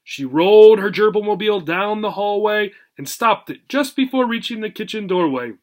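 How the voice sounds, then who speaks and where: A man reading a children's story aloud as a teacher, deliberate and expressive, emphasising words for learners. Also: high-pitched.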